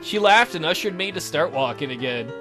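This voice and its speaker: A man's voice: on the high side, animated, a little fast, music in the background. A playful man reading a visual novel aloud and adding joking commentary as a let's play host. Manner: playful, jokey.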